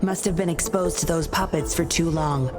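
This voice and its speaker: A woman's voice: fairly low pitch, moderate pace, natural voice. A woman voicing a stern, guarded undercover agent in a video game, speaking tersely and with control, with a threatening edge. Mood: firm, businesslike. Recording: music under the voice.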